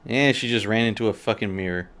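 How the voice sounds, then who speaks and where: A man's voice: fairly low pitch, fast, a bit bright, clear, resonant tone. A man hosting a game stream with casual, sweary, exasperated banter. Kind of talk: casual chat. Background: game music.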